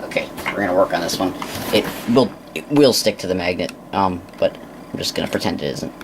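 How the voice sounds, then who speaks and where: A man giving an unscripted hands-on demonstration in a halting, casual mutter as he works, on an amateur recording. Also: deep voice, noisy background. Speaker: presenter of a hands-on science demonstration.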